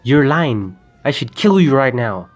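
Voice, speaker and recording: A man's voice: mid-range voice, big pitch swings, unhurried. A young man acting a commanding, impatient armed bank robber in an amateur radio drama. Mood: impatient threats. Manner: commanding, curt. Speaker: student actor.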